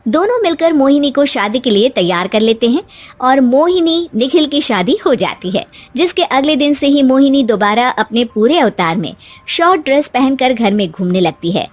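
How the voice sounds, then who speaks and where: A woman's voice: sits high, very animated, moderate pace. A woman narrator reading a scripted moral story as a voice-over. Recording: voice-over with music. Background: music.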